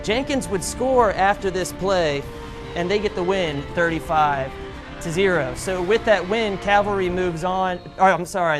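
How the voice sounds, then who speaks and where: High-voiced American male sports reporter over music. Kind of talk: high school football highlights commentary. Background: music bed.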